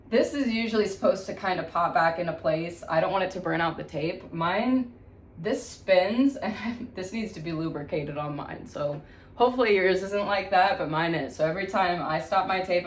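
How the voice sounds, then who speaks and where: Low-pitched woman in an echoey room. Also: normal speed. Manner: chatty, informal, thinking aloud. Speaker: musician giving a tutorial. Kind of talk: casual hands-on demonstration. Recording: echoey room, faint background noise.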